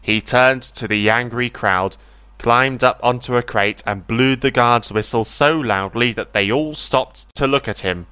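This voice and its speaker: A man's voice: mid-range voice, a little slow, sharp tone, smooth, no room sound. A man reading a scripted children's story as its narrator, close on the microphone.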